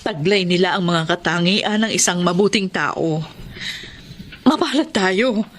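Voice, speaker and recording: A woman's voice: fairly low pitch, expressive, a little slow, slight background noise. An actress playing an earnest, hardworking single mother in a radio drama. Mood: tender. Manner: earnest, emotional.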